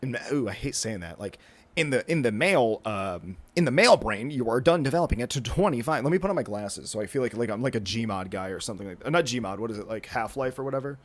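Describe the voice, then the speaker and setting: A man's voice: medium pitch, expressive, fast talker. A man in his twenties hosting a solo podcast, rambling casually with lots of fillers, restarts and the odd swear word. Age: 20s. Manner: rambling, self-interrupting, many fillers and restarts; casual, swears, says dude and bro. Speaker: podcast host. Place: indoors, with a thunderstorm rolling in outside.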